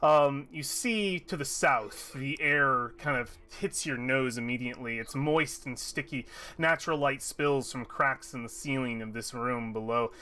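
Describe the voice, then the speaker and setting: A man runs a tabletop game, describing rooms in a loose, rambling way. He drops in fillers and often restarts his sentences. His tone is bright and forceful, at a middle pitch. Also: measured pace, hardly any pauses. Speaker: game master.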